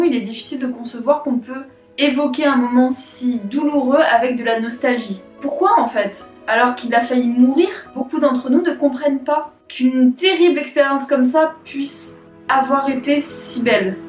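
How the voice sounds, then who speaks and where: A woman who works as a clinical psychologist, telling a story gently and thoughtfully with many fillers as she hosts her own video. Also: sits high, moderate pace, reverberant, recorded from a distance, faint music behind. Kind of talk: storytelling to camera.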